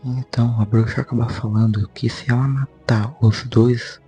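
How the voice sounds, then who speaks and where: A man's voice: medium pitch, fairly even intonation, normal speed, warm tone. Subdued Brazilian man recapping a story over music. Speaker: YouTube host. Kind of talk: informal story recap narration.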